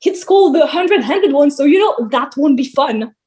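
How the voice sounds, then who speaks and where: Woman playing high-voiced, sardonic grumpy god. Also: lively intonation, moderate pace, darkish tone. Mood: sarcastic. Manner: sardonic, dismissive, blustering. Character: grumpy, sarcastic male god running a camp. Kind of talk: theatrical table read of a musical.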